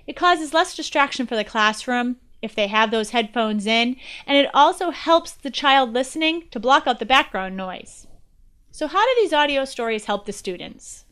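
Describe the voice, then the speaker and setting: A woman's voice: high voice, lively intonation, normal speed, fairly bright tone, clear, resonant tone. An American woman guest-hosting a podcast, giving a scripted educational presentation in a chatty manner.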